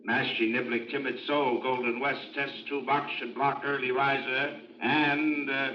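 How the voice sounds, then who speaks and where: An actor playing an impassive race caller, reading results in a clipped, matter-of-fact list over a loudspeaker. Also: male voice, medium pitch, little pitch movement, unhurried, slight background noise. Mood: businesslike. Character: impassive racetrack results caller, adult man. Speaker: race announcer. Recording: echoey, distant, muffled loudspeaker sound.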